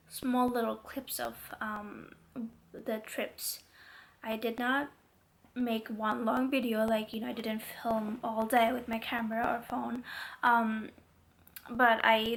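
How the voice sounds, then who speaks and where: A woman's voice: fairly high pitch, creaky, fairly bright tone, slight background noise. A young woman vlogging casually to camera with a stuffy, congested voice, chatty and rambling with many fillers. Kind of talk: casual talking-to-camera vlog.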